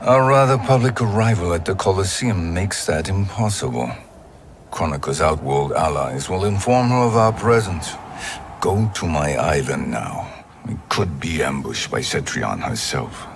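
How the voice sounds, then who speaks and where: Video game schemer: very deep, measured, cunning. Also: male voice, expressive, a little hiss or hum. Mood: scheming. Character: scheming male sorcerer, cunning and calm. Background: game score music.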